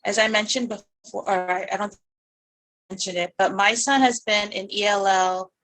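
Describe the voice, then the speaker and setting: A woman's voice: medium pitch, steady tone, normal speed, a bit bright, no echo, low-quality recording. Eager rambling woman on a dry call. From United States. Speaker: parent board member. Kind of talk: casual online meeting talk. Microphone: video call.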